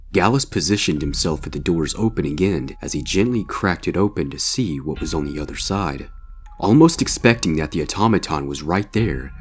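Very deep-voiced male story narrator. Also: breathy edge. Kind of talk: scripted narration of a horror fan fiction story.

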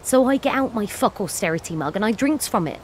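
A woman's voice: medium pitch, expressive, a little fast, a little mellow, a little hiss or hum, natural voice. An actress playing a bitter, defiant working-class cleaner and self-styled wolf woman in an audio play monologue, with an earthy, sweary way of rambling. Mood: wry, confiding.

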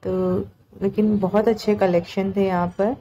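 A woman's voice: mid-range voice, level tone, normal speed, warm tone, slight background noise. A woman narrating a vlog in a casual, chatty, conversational way. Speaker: market vlogger.

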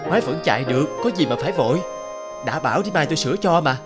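A man voices a boastful, disgruntled engineer in a cartoon. His voice sits a little high and swings widely as he grumbles and brushes people off, with music playing underneath. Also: animated, fast talker, lightly acted. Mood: dismissive. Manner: grumbling, dismissive. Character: disgruntled, boastful male mechanical engineer, thirties. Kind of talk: animated film dialogue.

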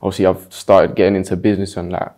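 Laid-back young London man, low mellow voice. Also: level tone, fast talker. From South East London. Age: young adult. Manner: laid-back, hesitant, many fillers. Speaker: interview guest. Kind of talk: casual interview answers. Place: dim studio with dark backdrop.

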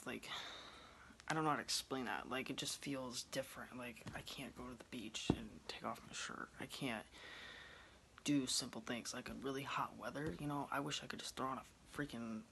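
A man's voice: medium pitch, vocal fry, a little hiss or hum, low-quality recording. A man in his twenties chatting casually to his phone camera in a small room at home, rambling with lots of ums. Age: 20s. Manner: relaxed, rambling, many ums. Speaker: vlogger. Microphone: phone camera close up.

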